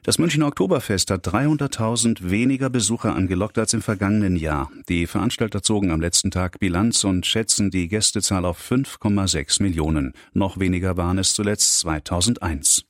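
Deep-voiced male radio news reader. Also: brisk, few pauses, no echo, studio quality. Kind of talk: formal scripted news bulletin. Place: radio studio.

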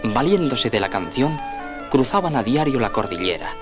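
Animated male children's story narrator. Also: medium pitch, normal speed, music in the background.

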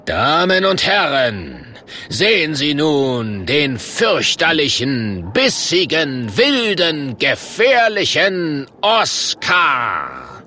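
Man doing a gruff, slow-talking old monster showman. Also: on the high side, huge pitch swings, pushed and bright, voice pushed up, full character voice. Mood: boastful barking. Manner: drawn-out grumbling, barking showman calls.

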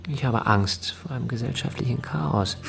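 A principled politician full of self-doubt in a radio drama. He is measured and earnest, with plenty of creak in a mid-pitched voice. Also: fairly bright tone, a little hiss or hum. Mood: wary, earnest.

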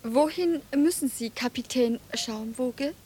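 A woman's voice: high voice, a little slow, warm tone, slight background noise. An actress playing a refined, teasing, self-possessed lady in a German radio play, speaking in a playful, genteel way. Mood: coaxing.

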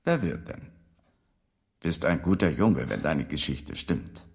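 An actor playing a tough, sardonic police detective in a radio drama, curt and commanding. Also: male voice, rumbling low, lively intonation, slow, creaky, full character voice. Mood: gruff, commanding.

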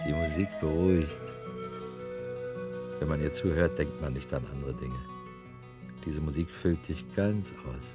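An actor playing a brooding, weary cellar worker full of doubt in a science-fiction radio play, intense and dropping into hushed whispers. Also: male voice, extremely low pitch, measured pace, long pauses, loud music behind the voice. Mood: subdued.

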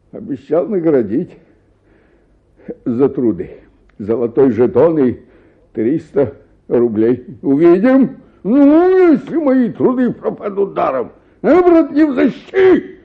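A man's voice: sits high, sing-song, slow pace, creaky, long pauses, slightly rough, narrow, tinny audio, exaggerated voice. An actor playing an exhausted, ailing and irritable bank official in a theatrical radio play, groaning and sighing as he talks. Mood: aggrieved self-pity.

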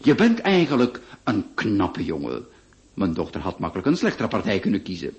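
Effusive, swooping, jovial marquis. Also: male voice, lowish voice, wildly swooping pitch, moderate pace, muffled recording. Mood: jovial, insistent. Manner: effusive, overbearing, repeats words for emphasis. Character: jovial, domineering marquis pushing his daughter's marriage. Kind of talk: radio drama acting.

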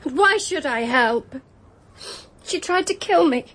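An actress playing a bitter, hesitant former servant in a radio drama. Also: female voice, high-pitched, lively intonation, slow pace, fairly bright tone, heavily acted. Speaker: Mary. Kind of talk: BBC radio drama acting.

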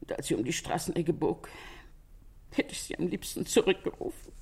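A woman playing a talkative older Parisian landlady in a German radio crime drama, gossipy but sympathetic, chatting and retelling with a husky voice. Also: fairly low pitch, expressive, normal speed, a little mellow. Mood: sympathetic, wistful. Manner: chatty storytelling, quotes others animatedly.

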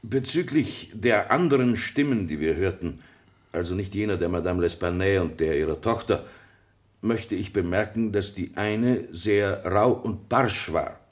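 An actor playing a dutiful French police sergeant who testifies formally and precisely in a German radio play. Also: male voice, deep voice, unhurried. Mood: dutiful, earnest.

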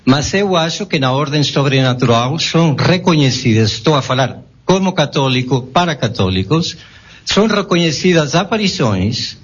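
A man's voice: fairly high pitch, animated, moderate pace, husky. A man speaking Portuguese as a non-native, asking a careful and perplexed question from the audience at a public debate. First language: not Portuguese. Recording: dull lo-fi recording.

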